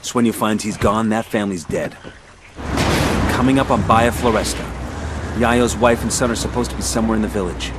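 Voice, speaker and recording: An actor playing a no-nonsense special forces squad leader in a military video game, terse and commanding. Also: male voice, lowish voice, fairly quick, heavy background noise, lightly acted. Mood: focused, commanding. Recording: music behind the voice.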